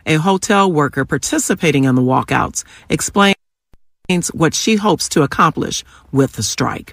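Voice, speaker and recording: A woman working as a radio news reporter and host, delivering reports and fund-drive pitches with emphatic, drawn-out phrasing. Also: no room sound.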